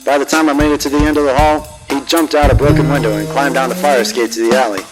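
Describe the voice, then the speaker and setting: An actor playing a cocky, wisecracking old-time private detective in a noir radio drama, wry and swaggering. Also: male voice, medium pitch, fairly even intonation, quick pace, over loud music, close to an everyday voice. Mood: hard-boiled narrating. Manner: smooth-talking, wry, swaggering. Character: cocky, wisecracking 1940s hard-boiled male private detective.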